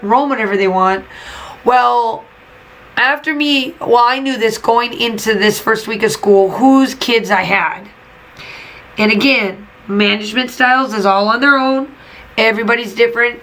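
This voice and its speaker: A woman's voice: fairly high pitch, a bit bright, slight background noise, low-quality recording. A woman, a teacher who runs a craft channel, chatting and venting in a casual voiceover while she is losing her voice. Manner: chatty, venting, emphatic repetitions. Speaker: teacher and scrapbooking channel host. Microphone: voiceover mic.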